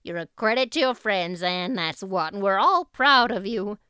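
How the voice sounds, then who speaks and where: A woman's voice: mid-range voice, animated, moderate pace, bright, forceful tone, smooth, no echo, clean studio sound. A woman voicing a hearty, blunt, opinionated older village woman in a storybook reading. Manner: hearty, emphatic.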